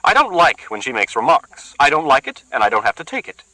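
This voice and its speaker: A man voicing a loud, sarcastic woman who needles everyone with sour jabs. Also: huge pitch swings, fairly quick, no room sound, heavily acted, poor audio. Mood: aggrieved. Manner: shrill, jabbing, sour sarcasm.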